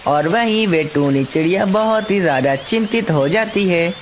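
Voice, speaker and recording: A woman's voice: on the low side, animated, moderate pace, background noise. A woman reading scripted narration for a children's cartoon story.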